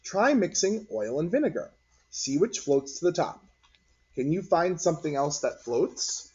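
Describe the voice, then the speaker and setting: A slightly dull, lo-fi recording of a man reading a picture book to children. His voice sits on the high side with a husky edge, and he tells the story expressively and deliberately. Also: sing-song, a little mellow. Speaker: read-aloud narrator.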